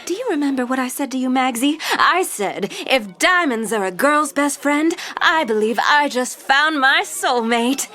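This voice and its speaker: A woman's voice: high-pitched, lively intonation, a little slow, hardly any pauses, pushed and bright, exaggerated voice. A woman playing a glamorous, self-assured, flirtatious socialite in an audio drama, telling stories in a playful, showy way. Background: music.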